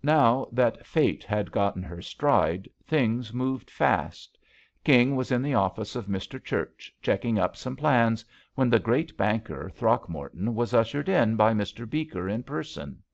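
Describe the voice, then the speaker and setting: Male audiobook narrator, gravelly and expressive, literary fiction, slightly muffled recording. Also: medium pitch, a little slow. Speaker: audiobook reader.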